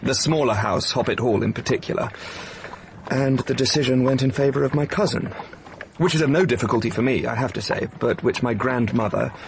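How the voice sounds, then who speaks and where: An actor playing a solid, virtuous English diplomat who speaks politely and formally, with an English accent. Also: male voice, mid-range voice, brisk, gravelly, background noise, lightly acted. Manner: polite, hesitant, formal phrasing. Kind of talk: BBC radio drama acting.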